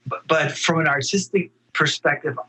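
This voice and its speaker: A man speaking as an actor guest in a casual interview, halting and earnest, often repeating words as he thinks. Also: a little high, bright, forceful tone, low-quality recording.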